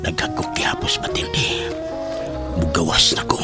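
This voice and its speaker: A man's voice: moderate pace, natural voice. A man playing a sneaky, furtive husband in a horror audio drama, muttering breathily with moans and gasps. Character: sneaky, furtive husband creeping from his wife's bed. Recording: loud music over the voice.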